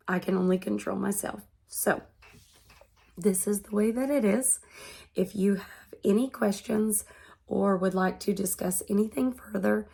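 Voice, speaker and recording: A woman in her thirties, congested and hoarse from being sick, talking earnestly to her vlog audience. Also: mid-range voice, warm tone. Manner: slow, earnest and measured. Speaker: vlogger. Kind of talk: personal vlog monologue.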